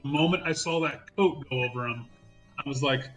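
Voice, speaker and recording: A man's voice: medium pitch, moderate pace, fairly bright tone, faint music behind, poor audio. A man talking casually as a player in an online tabletop game, rambling and restarting his sentences. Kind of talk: casual chat during an online game session.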